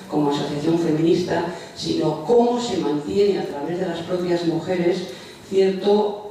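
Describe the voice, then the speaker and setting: A woman's voice: sits low, mellow, slight background noise. An older woman in the audience offers a hesitant, thinking-aloud academic comment in a lecture hall. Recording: distant, very echoey lecture hall.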